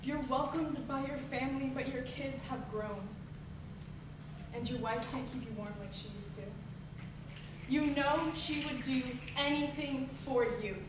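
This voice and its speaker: A woman's voice: high voice, measured pace. A young woman performing slam poetry live, emphatic and declamatory with a rhythmic delivery. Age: teens to young adult. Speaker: slam poet. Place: echoey venue at a high school showcase. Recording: echoey distant live recording, background noise.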